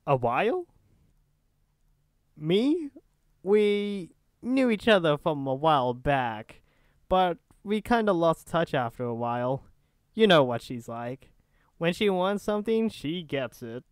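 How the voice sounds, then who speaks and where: A man's voice: on the high side, very animated, takes it slowly, smooth, no room sound, clean studio sound. A man playing a nosy, easygoing young friend in an ASMR roleplay, casual and teasing.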